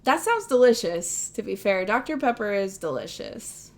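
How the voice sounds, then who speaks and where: A woman's voice: medium pitch, very animated, normal speed. A young woman with a Midwestern accent, chatting casually on a livestream, rambling and self-deprecating, laughing often. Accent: Midwestern American. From American Midwest. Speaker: streamer.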